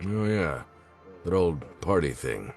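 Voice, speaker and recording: A man playing a folksy, gruff police chief with a prankish streak at a film script table read. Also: low voice, sing-song, slow, soft background music, exaggerated voice. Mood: folksy, easygoing.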